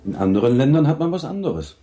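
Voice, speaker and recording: Radio drama: actor as wry male dinner guest, warm dark tone, animated intonation. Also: mid-range voice, fast talker, soft background music, lightly acted. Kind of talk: German radio drama acting.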